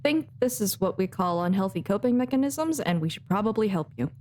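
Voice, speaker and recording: A woman's voice: mid-range voice, lively intonation, fairly quick, a little mellow, slight background noise. A woman giving casual, chatty live commentary as a game streamer, with playful asides. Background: faint game music.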